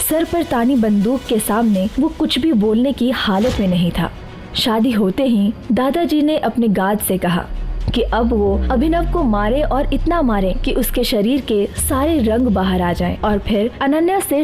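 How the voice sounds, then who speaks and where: A woman narrates a dramatic audio story. Her voice is fairly high yet mellow in tone, with faint music underneath.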